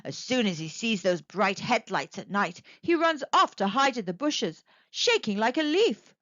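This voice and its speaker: A woman's voice: a little high, big pitch swings, normal speed, a bit bright, dry recording, highs cut off. A woman voicing a kind, reassuring male tawny owl in a children's storybook, explaining things in an encouraging way.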